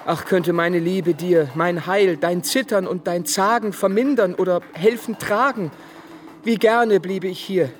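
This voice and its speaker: An actor playing a grieving, penitent believer, reciting verse with solemn fervour in a German radio play. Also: male voice, sits high, unhurried. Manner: solemn, declamatory, fervent. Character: grieving, penitent male believer lamenting the Passion.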